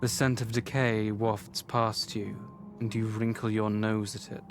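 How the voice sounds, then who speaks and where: A man reading scripted literary narration for a visual novel in a measured, formal storytelling manner. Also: lowish voice, little pitch movement, over music.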